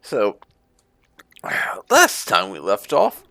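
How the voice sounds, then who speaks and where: A man hosting a gaming video with casual roleplay commentary, speaking in a halting, thoughtful way on a close mic. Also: on the low side, wildly swooping pitch, vocal fry, sharp tone, dry recording. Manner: halting, thoughtful delivery with murmured hums. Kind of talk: casual let's play commentary, reading dialogue choices aloud in roleplay.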